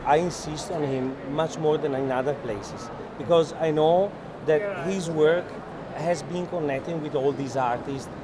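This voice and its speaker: A man's voice: a little high, unhurried, a crowd in the background. A man explains things in earnest, as a gallery owner in unscripted conversation, with hesitant phrasing. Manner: earnest, hesitant non-native English phrasing. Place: art fair hall, on a walking tour. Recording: noisy busy indoor fair hall.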